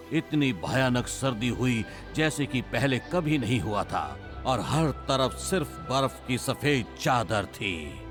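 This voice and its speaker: A man voicing a wise, kindly uncle panda who tells tales to children in a dubbed cartoon. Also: mid-range voice. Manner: unhurried, storytelling to children.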